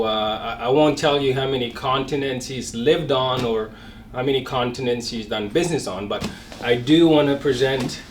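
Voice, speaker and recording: Man hosting, heard from a distance. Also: medium pitch. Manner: friendly, hesitant, many fillers. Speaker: show host. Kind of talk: interview hosting. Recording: distant mic in an echoey room with faint music.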